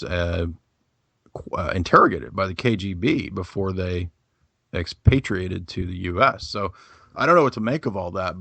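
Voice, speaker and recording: A man's voice: deep voice, gravelly, fairly bright tone, no echo. A man speaking as a guest in a casual podcast discussion, rambling with many fillers and restarts.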